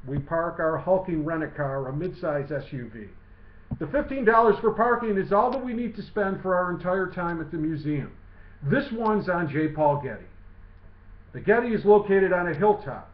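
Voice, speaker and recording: A man reading his own literary essay aloud in a measured, declamatory way. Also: high voice. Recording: muffled lo-fi, roomy echo.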